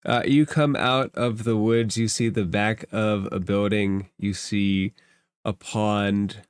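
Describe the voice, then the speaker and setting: A man's voice: on the low side, steady tone, takes it slowly, smooth, no room sound, clean studio sound. A man running an improvised tabletop roleplaying game as game master, talking in an informal, conversational way.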